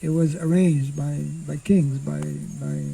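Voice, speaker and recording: Slow, gravelly older man teaching outdoors. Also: on the high side, steady tone, warm tone. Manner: slow, halting, clears throat often. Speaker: monk teaching scripture. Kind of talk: informal scripture class. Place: outdoors on a farm clearing. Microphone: stand mic in front.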